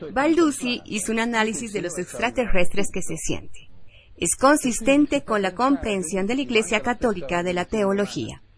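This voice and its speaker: A woman's voice: mid-range voice, animated, normal speed. A woman presenting radio news, reading scripted headlines and reports. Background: music.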